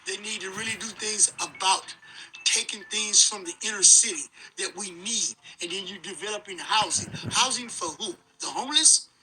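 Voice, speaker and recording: A man's voice: high-pitched, moderate pace, bright, forceful tone, a little hiss or hum, rough recording. A man speaking off the cuff as an interviewed community member, indignant and complaining. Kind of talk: spontaneous news soundbite.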